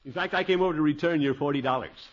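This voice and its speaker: Guy playing a blustery flustered uncle, old radio sitcom, highish voice, muffled noisy sound. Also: racing, close to an everyday voice. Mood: apologetic, evasive. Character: blustering, flustered middle-aged uncle, evasive and wheedling. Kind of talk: 1950s radio situation comedy acting.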